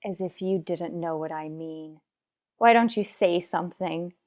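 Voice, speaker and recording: Actress playing an anguished wife and mother, mellow voice. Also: fairly low pitch, close to an everyday voice. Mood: heartsick, weary. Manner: earnest, measured, dramatic pauses. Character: anguished, unhappily married mother in a soap opera. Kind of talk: radio soap opera acting.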